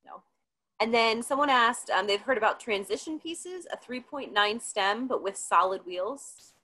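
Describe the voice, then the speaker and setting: A woman's voice: fairly high pitch, expressive, pushed and bright, low-quality recording. A woman moderating a virtual event, politely reading out audience questions over a video call. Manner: brisk and polite, reads questions out.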